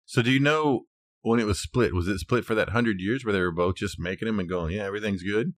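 A man's voice: on the low side, fast talker, dry recording. A man co-hosting a podcast, talking and telling stories in an informal, easygoing, conversational way. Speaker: podcast co-host. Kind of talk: casual conversational storytelling and discussion.

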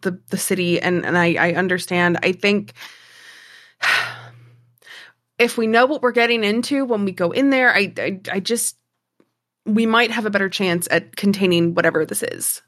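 A woman's voice: mid-range voice, measured pace, long pauses, fairly bright tone. A woman playing a tabletop game, talking casually and hesitantly, repeating words as she thinks things through.

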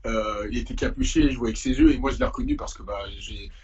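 A man's voice: medium pitch, wildly swooping pitch, fast talker, a little mellow, breathy edge, muffled recording. A young man from Paris, speaking as an interviewed musician in an informal, slangy, hesitant way. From Paris, France. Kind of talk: casual conversational interview.

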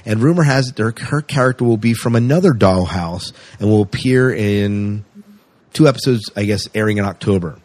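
A man's voice: lowish voice, moderate pace, darkish tone, no echo. A man hosting a podcast, chatting casually and enthusiastically through entertainment news. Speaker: podcast host.

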